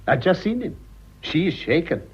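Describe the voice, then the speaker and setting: A muffled vintage radio play carries a pompous upper-class English schemer. His Received Pronunciation swings wildly up and down, and the tone is husky. He sounds thoroughly pleased with his own cleverness. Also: on the high side, very animated, measured pace, exaggerated voice. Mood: gloating. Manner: pompous, self-congratulatory, theatrical. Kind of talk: vintage British radio drama acting. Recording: muffled old radio recording.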